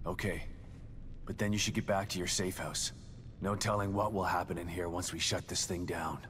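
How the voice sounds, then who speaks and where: A man playing a gruff, weary, determined ex-detective in a survival horror game, speaking tersely and sardonically. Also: sits low, fairly even intonation, normal speed, fairly bright tone, slight background noise. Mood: grim.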